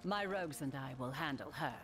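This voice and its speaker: A woman's voice: extremely low pitch, animated, fairly quick, background noise. A woman playing a proud, commanding rogue captain in a video game. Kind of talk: video game character acting.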